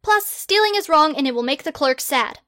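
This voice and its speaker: A woman's voice: high voice, lively intonation, normal speed, bright, forceful tone, no echo, clean studio sound, pitched above the performer's own voice. A woman voicing a pompous, self-proclaimed boy wizard hero who makes grandiose, bossy proclamations.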